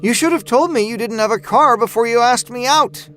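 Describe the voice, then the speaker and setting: A man's voice: very high-pitched, a little fast, a bit bright, faint music behind, voice pushed up. A man voicing an annoyed young woman in a horror audiobook, sarcastic, harsh and accusing. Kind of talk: horror audiobook character dialogue.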